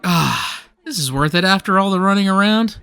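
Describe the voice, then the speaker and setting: Guy playing a excitable comic nerd, high bright voice, jokey and whiny, comedy radio play. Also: moderate pace, smooth, no echo, some chatter behind. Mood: grand, eager. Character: excitable, self-centred young male comic-book fan named Colin.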